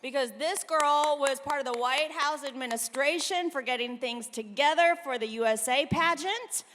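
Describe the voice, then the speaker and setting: Loud high-voiced woman emceeing a gala. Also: normal speed. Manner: loud, lively, storytelling. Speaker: event co-host. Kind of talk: live emcee banter at a gala. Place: large hall at a gala event. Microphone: handheld microphone. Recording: reverberant hall.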